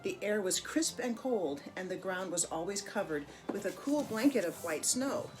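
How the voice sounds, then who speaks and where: A woman voicing a gentle, wistful mother reindeer in a picture-book story. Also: medium pitch, animated, normal speed, over music, close to an everyday voice, poor audio. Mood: nostalgic.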